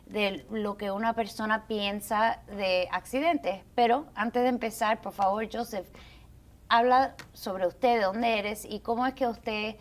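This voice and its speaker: A woman hosting an interview segment, courteous and formal, putting questions to her guest. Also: medium pitch. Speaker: interview host. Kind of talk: hosting a call-in legal segment.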